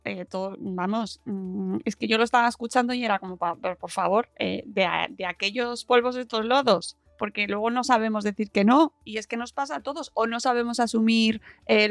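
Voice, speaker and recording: A woman's voice: a little high, vocal fry, no room sound. A woman with an accent from Spain hosting a casual podcast interview, speaking informally and expressively and appealing to her listeners.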